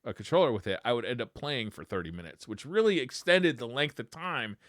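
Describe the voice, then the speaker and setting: A man's voice: medium pitch, expressive, fairly quick, a bit bright, dry recording, clean studio sound. A man co-hosting a casual retro gaming podcast, talking in a conversational way. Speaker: podcast co-host.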